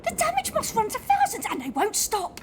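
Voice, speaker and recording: A woman playing a ruthless, vain, sharp-tongued schemer in an audio play. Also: extremely high pitch, huge pitch swings, background noise, exaggerated voice. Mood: irritated, scheming. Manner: brisk, sharp-tongued, dismissive.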